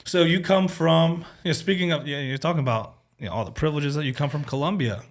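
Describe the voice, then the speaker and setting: A man's voice: a little high, quick pace. A man hosting a podcast, talking in an easygoing, agreeable way. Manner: easygoing, agreeable, casual phrasing. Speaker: podcast host. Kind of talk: casual conversational interview.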